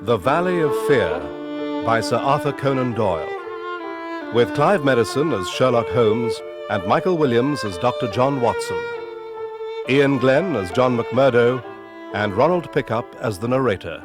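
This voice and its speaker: A man's voice: mid-range voice, animated, a little slow, loud music behind the voice. A man reading a scripted announcement of title and credits for a radio drama.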